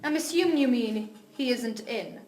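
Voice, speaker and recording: A high-pitched, icy snob of a publisher, acted by a woman in an audio drama. She is clipped, impatient and condescending. The room rings around her voice. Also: normal speed, a little mellow, lightly acted. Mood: cool, condescending. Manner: clipped, condescending, corrects others' grammar. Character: haughty, icy female publisher, impatient and snobbish. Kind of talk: amateur audio drama reading. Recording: roomy, echoey amateur recording.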